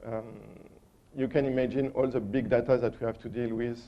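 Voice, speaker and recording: A man's voice: mid-range voice, level tone, brisk, slight background noise. A man with a strong French accent giving a technical conference talk, hesitant and full of ums and uhs. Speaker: conference speaker. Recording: dull lo-fi conference recording.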